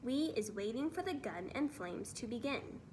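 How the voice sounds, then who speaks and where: Storybook role: kindly, excitable ancient giant played by a woman, muddled grammar, whimsical words, high and swooping pitch. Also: moderate pace, a little hiss or hum, close to an everyday voice. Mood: urgent, conspiratorial.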